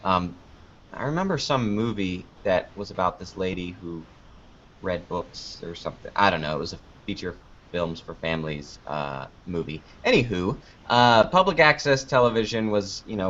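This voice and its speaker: A man's voice: sits low, lively intonation, moderate pace, fairly bright tone, slight background noise. A man giving casual, rambling interview answers as a guest, informal and often interrupting himself mid-sentence. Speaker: interview guest, podcast creator. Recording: dull lo-fi online call audio.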